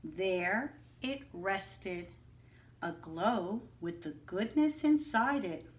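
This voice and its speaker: A woman reads a children's picture book aloud to her class at an unhurried, careful pace. Her voice moves widely up and down as she makes each line expressive. She keeps stopping to explain things and quiz the children in a patient teacher's manner. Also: medium pitch. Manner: slow, clear, expressive teacher voice, asking the class questions. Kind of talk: children's story read-aloud with teaching asides.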